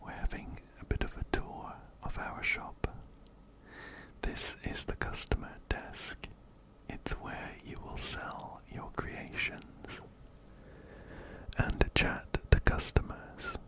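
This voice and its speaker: A man narrating a video game in a slow, breathy ASMR whisper, very close to a binaural mic, softly repeating words. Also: rough recording. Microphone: close-up binaural microphone, ear to ear.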